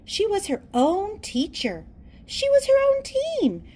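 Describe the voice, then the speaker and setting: A woman reading a children's storybook aloud in a theatrical, deliberate storytelling manner. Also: extremely high pitch, huge pitch swings, dark tone. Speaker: storybook reader. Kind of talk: children's read-aloud narration.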